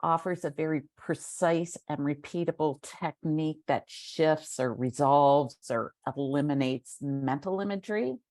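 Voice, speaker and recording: A woman hosting an interview, asking questions and explaining a topic at a measured pace. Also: low-pitched, a little mellow, no room sound, studio quality. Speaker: interviewer host.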